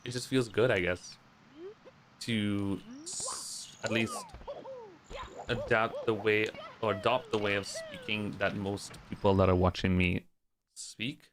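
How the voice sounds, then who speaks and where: A man's voice: fairly low pitch, very animated, slow. A man in his thirties, raised in Trinidad, who speaks with an adopted American accent. He chats casually with his viewers while playing a game, sarcastic and often profane, with frequent restarts. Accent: American, adopted over years of streaming. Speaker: streamer and speedrunner. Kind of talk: casual chat while gaming and reading chat.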